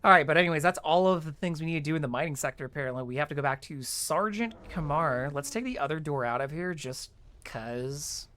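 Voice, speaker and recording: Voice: medium pitch, brisk, fairly bright tone. A casual game streamer with a mid-range voice talks viewers through the play. The delivery is informal and chatty, explaining things and thinking aloud along the way. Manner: chatty, informal, mild swearing. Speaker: streamer playing an online game. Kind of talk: casual game walkthrough commentary.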